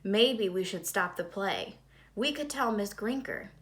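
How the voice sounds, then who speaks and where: A woman's voice: medium pitch, animated, a little slow, lightly acted. A woman voicing an anxious, earnest schoolboy hero in a children's storybook, with a worried manner. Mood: worried, hushed.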